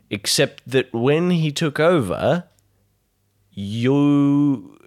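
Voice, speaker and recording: A man voicing a worried, apologetic man explaining himself haltingly in a tabletop role-playing show. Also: on the low side.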